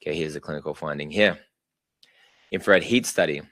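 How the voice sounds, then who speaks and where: A man's voice: a bass voice, a little fast, fairly bright tone, no echo. A man hosts a live online presentation. He talks through his slides in a loose, chatty way with plenty of fillers. The sound is dry and close. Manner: casual, chatty, many fillers. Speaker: webinar host.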